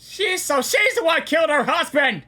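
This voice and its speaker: A gamer giving an excited, casual live reaction, exclaiming and repeating phrases. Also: high-pitched, normal speed, soft background music. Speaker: gaming reaction video maker.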